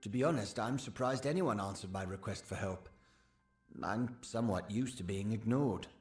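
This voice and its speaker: A man's voice: fairly low pitch, normal speed, close to an everyday voice. A man voicing an eager, self-effacing village sagecrafter in a fantasy game, earnest, hesitant and deferential. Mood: eager, humble. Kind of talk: scripted game dialogue. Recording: roomy echo, background music.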